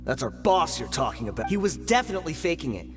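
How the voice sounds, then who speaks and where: A man's voice: a little high, very animated, quick pace. An actor playing a sneering, easily rattled male bandit underling in a fantasy video game, defensive in manner. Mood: grudging, defensive. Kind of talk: dramatic video game acting. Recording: long reverb, music behind.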